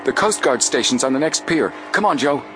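Bold teen boy detective, voiced by a man, storybook adventure, higher-pitched, urgent delivery. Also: expressive, brisk, fairly bright tone, slight background noise, natural voice. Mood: urgent, excited.

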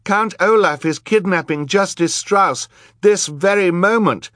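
A fierce young orphan girl in a storybook, acted by a male narrator. The voice is lifted high and pleads forcefully, in a very dry recording. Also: measured pace, no echo. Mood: urgent pleading.

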